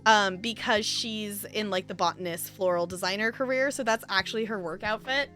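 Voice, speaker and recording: A woman's voice: medium pitch, normal speed, pushed and bright, faint music behind. A woman streaming a life simulation game with casual, rambling commentary, chatting to viewers and to the game's characters.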